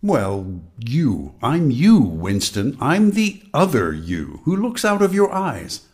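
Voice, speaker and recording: An actor playing a grave, knowing voice in a radio drama, speaking deliberately and theatrically. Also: male voice, medium pitch, wildly swooping pitch, a little mellow, breathy edge, heavily acted. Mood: needling, insinuating. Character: grave narrator and needling, knowing inner voice. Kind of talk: dramatic radio play narration and acting.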